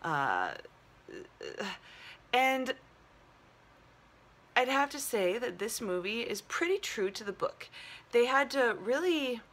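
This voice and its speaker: A woman's voice: on the high side, very animated, takes it slowly, pauses often, fairly bright tone. Casual woman reviewer thinking aloud. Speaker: movie review show host. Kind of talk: casual solo movie review chat.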